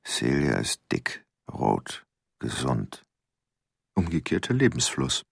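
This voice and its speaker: An actor playing a sickly, haunted killer in a halting inner monologue for a radio play, gravelly and husky. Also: male voice, a bass voice, huge pitch swings, no room sound, studio quality, full character voice. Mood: weary, haunted. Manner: halting, brooding, clipped phrases.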